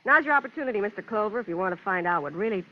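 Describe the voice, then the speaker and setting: A woman playing a distraught, defiant wife in an old-time radio melodrama, with an intense, halting, dramatic delivery. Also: medium pitch, expressive, natural voice. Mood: bitter, defiant. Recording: muffled, noisy vintage radio recording.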